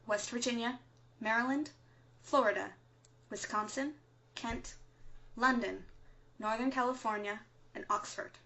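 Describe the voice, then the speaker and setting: A woman's voice: fairly high pitch, pushed and bright. Dull lo-fi actress as serious resistance radio woman. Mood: grave, instructive. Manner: measured, instructive announcer delivery. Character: serious female resistance radio broadcaster briefing fighters. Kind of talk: scripted fictional underground news broadcast. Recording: dull, lo-fi broadcast sound.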